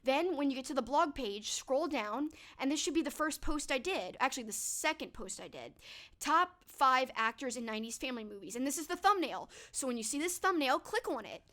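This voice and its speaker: Young woman, high voice, stuffed-up nose, chatty livestream host, upbeat. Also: animated, a little fast, pushed and bright. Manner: upbeat, chatty, thanking viewers by name. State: a bit stuffed up. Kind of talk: casual livestream wrap-up talking to chat.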